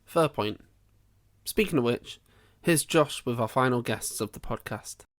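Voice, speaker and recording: A woman's voice: very deep voice, expressive, measured pace. A woman playing a matter-of-fact podcast presenter in a comedy audio drama.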